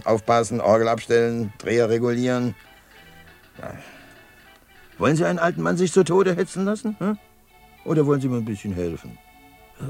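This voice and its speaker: A man's voice: mid-range voice, vocal fry. An actor playing a lonely older man who coaxes a young woman into helping him, in a 1960s radio play. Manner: coaxing, persuasive. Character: lonely old man, carousel owner, coaxing.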